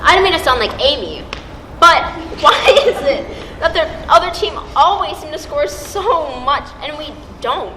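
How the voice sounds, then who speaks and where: A woman playing a frank, supportive high school best friend in an amateur stage play. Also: lively intonation, measured pace, bright, forceful tone, heavily acted. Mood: frank, friendly. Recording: reverberant hall with faint noise.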